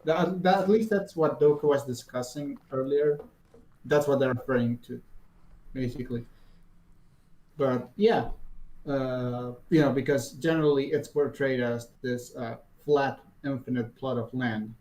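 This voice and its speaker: A man in his twenties on a podcast, explaining in a relaxed way with many fillers. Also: medium pitch, a little slow, low-quality recording. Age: 20s. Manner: relaxed, full of fillers, explains things loosely. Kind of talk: casual chat.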